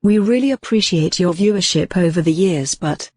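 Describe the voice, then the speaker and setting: A man playing a solemn emergency broadcast announcer, reading formally in a measured way with long pauses. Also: high voice, a little mellow, no room sound.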